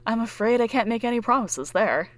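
An actress playing a polished shop clerk who sells devices with practiced cheer. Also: female voice, fairly high pitch, expressive, fairly quick, no room sound, lightly acted. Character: smooth female shop clerk selling devices. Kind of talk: audio drama acting.

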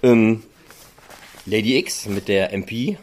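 A man with a fairly low voice conducts a casual interview. He talks conversationally, as a podcast host chatting with a guest. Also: lively intonation, slow, darkish tone, a little hiss or hum.

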